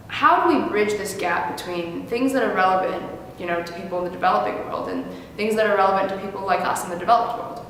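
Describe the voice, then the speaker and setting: College woman reading her pitch earnestly, really echoey room with long reverb, music under it. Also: a bit bright, a little hiss or hum. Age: 20s. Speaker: university student. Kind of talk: scripted pitch narration.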